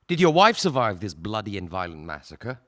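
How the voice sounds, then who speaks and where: A man acts out a male prosecutor in a courtroom drama, grave and courteous. His formal questions rise and fall dramatically in pitch. The sound is dry, with hardly any room. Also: sing-song, a bit bright, dry recording. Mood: grave, probing. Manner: formal, measured, solemn. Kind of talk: stage-play courtroom acting.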